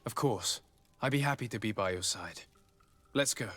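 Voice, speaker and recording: An actor voicing a courteous, gentle young male diplomat in a video game, speaking in a formal, measured way. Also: on the low side, big-room sound, soft background music. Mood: kind, helpful.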